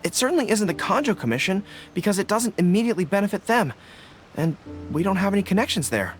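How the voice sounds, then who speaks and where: A woman's voice: mid-range voice, sing-song, fast, lightly acted. A woman voicing an earnest, polite young official in a video game, speaking a little hesitantly. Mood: earnest, explaining. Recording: loud music behind the voice.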